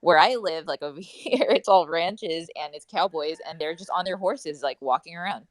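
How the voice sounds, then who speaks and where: A woman's voice: lowish voice, normal speed, no echo. A woman from California co-hosting a casual live audio chat, chatty and full of "like", often laughing. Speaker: co-host.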